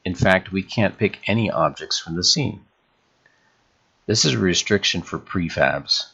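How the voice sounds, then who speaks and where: Male tutorial host, very low register with heavy fry, measured instructional screencast delivery. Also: expressive, sharp tone, dull audio. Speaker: game development tutorial host.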